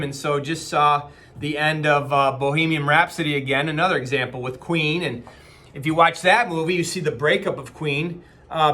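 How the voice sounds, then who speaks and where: A man's voice: fairly high pitch, sharp tone. A man in his forties, a company leader, giving an earnest, casual motivational talk from a small office with many fillers and restarts. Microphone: webcam at desk distance.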